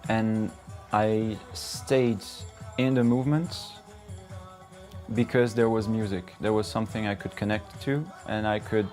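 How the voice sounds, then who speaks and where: A man's voice: on the low side, fairly even intonation, measured pace, darkish tone, soft background music. A man answering interview questions in a reflective way.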